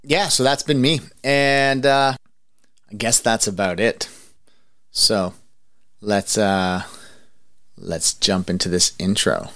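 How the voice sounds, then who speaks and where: A man's voice: on the low side, steady tone, slow pace, long pauses, a bit bright, dry recording, studio quality. A man in his thirties hosting a podcast, talking to listeners and guests. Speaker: podcast host. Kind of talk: podcast talk and interview.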